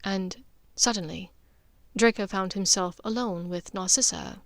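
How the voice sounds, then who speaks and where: Woman audiobook narrator, slow pace, scripted fiction, dry studio-like sound. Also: medium pitch, no room sound.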